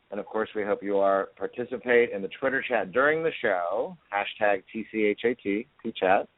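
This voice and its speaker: A man's voice: low-pitched, no echo. A man co-hosting a radio talk show, upbeat and promotional as he introduces the topic and guest. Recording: dry, tinny phone line.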